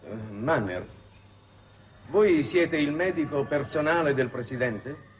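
A man's voice: fairly high pitch, lively intonation, slow pace. An actor in his forties playing a worldly, wistful foreign minister in a 1960s television drama, urbane and wry in conversation. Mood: subdued, uneasy. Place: large reflective television studio set. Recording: roomy, noisy old television soundtrack.

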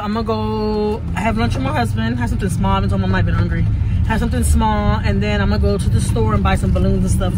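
Fast-talking woman vlogging from her car. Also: medium pitch, very noisy. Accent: Texan. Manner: chatty, rambling, repeats herself. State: slightly sore throat. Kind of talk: casual chat to camera. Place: inside a car. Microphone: phone held at arm's length.

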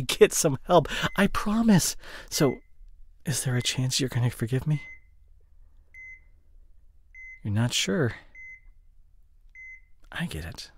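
A man acts out a guilty young boyfriend in an intimate audio roleplay. He speaks slowly at a middling pitch, stammering and softly pleading as he apologizes. Clear background noise sits behind the voice. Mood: pleading, subdued. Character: guilty, remorseful drinking boyfriend, soft and pleading.

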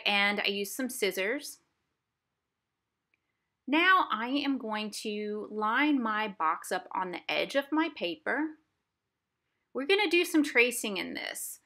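Woman, art teacher, bright forceful voice, step-by-step children's craft lesson, simple explanations. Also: mid-range voice. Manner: simple, explanatory, addressing young viewers. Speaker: art instructor for a museum family program. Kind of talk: instructional craft demonstration for children. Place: indoors at a craft table.

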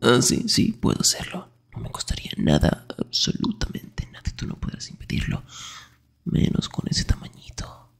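A man acting a grumpy, jealous, protective young best friend in an intimate Spanish-style ASMR roleplay, breathy and often whispering, defensive and sarcastic. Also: medium pitch, wildly swooping pitch, normal speed, soft background music, exaggerated voice. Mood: teasing, sarcastic.

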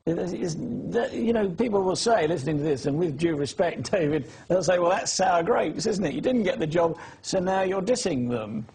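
A man's voice: high voice, expressive, normal speed, few pauses, darkish tone, slight background noise. A man conducting an interview, pressing his guest with probing, skeptical follow-up questions. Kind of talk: political interview.

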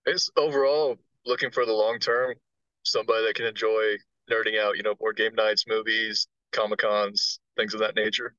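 A man's voice: fairly low pitch, flat delivery, normal speed, sharp tone, no room sound, lo-fi sound. A man in his mid thirties chatting casually as a guest on a video call. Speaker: dating show guest. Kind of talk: casual chat.

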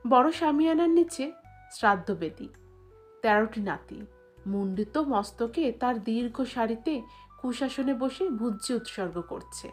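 A woman's voice: a little high, lively intonation, a little slow, faint music behind. A woman reading a literary short story aloud as a scripted narration.

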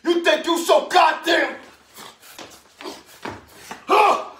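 A man's voice: very high voice, creaky, pushed and bright, echoey room, distant mic, voice pushed up, exaggerated voice. A man playing a violent, boastful father who taunts his wife and repeats himself in a theatrical monologue.

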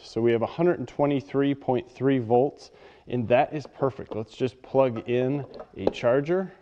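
A man's voice: medium pitch, dark tone. A man giving a plain, matter-of-fact hands-on product demonstration at a workbench in a workshop. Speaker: product reviewer. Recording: dull sound with long reverb.

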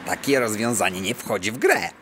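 Small cocky boy terrier, children's radio play: breezy hustler, low husky register, swooping delivery. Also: sing-song, brisk, noisy background, full character voice. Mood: brisk, insistent. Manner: breezy, slangy patter. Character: small, nervous yet breezy, self-assured male terrier. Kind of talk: children's audio play acting.

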